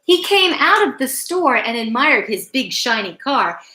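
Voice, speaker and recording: A woman reads a children's picture book aloud in a bright, fairly high voice. Her delivery is unhurried and very expressive, leaning on key words and speaking directly to young listeners. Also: rough recording. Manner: deliberate, emphatic phrasing, addresses young listeners. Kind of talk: expressive read-aloud bedtime story with asides.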